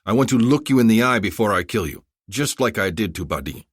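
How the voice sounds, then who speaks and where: A proud rebel general, voiced by a male narrator, firm and defiant, pitched a little above the reader's own voice. The storybook reading is dry and close. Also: expressive, a little fast, no room sound.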